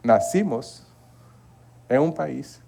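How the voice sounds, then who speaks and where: Gravelly preacher. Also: male voice, medium pitch, animated, extremely slow. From Haiti. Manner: earnest, repeats words, asks the congregation to agree. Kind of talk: Bible study sermon.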